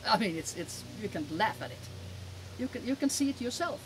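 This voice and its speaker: Older Finnish woman, emphatic and halting. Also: mid-range voice, expressive. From Finland. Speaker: interview guest. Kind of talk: conversational interview answers, personal testimony. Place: outdoors in a garden. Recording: clear outdoor background noise.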